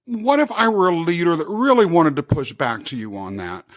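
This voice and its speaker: A man's voice: on the high side, sing-song, moderate pace, dry recording. A man hosting a podcast, asking thoughtful, probing questions and talking them through as he goes. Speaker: podcast host.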